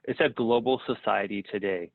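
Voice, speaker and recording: Middle-aged guy from the us, lowish voice, sounds like a phone line, dry. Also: moderate pace, creaky, dry recording. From United States. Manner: relaxed, repeats words as he thinks. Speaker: guest. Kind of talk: podcast interview.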